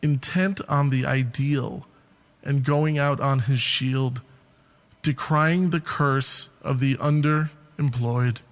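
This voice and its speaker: A man's voice: mid-range voice, fairly even intonation, takes it slowly. A man giving a literary reading of poetic prose. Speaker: reader of a literary piece.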